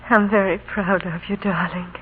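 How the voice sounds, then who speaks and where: An actress playing a gravely ill, gentle and resigned mother in an old-time radio drama. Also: lowish voice, steady tone, normal speed, noisy background, lightly acted. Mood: gentle, weary. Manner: gentle, frail. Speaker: radio actor. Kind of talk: 1930s radio drama acting. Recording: tinny old radio broadcast with loud music.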